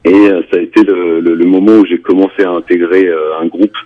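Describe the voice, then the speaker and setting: A man's voice: deep voice, steady tone, fairly quick. A man in his forties, a singer being interviewed, speaking hesitantly with many fillers and restarts. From France. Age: 40s. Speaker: reggae and dancehall singer, guest. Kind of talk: radio interview. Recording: phone-like narrow band.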